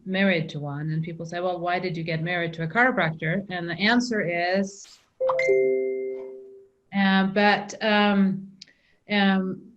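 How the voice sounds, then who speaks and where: A woman's voice: on the low side, steady tone, unhurried, lo-fi sound, faint music behind. A middle-aged woman from Norway with a Norwegian accent, telling her story as an interview guest, with frequent ums and restarts. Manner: hesitant, many ums and restarts.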